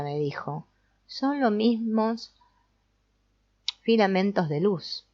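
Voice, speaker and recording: A woman reading an audiobook as narrator in a measured, explanatory way. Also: fairly low pitch, warm tone. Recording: dull, lo-fi sound.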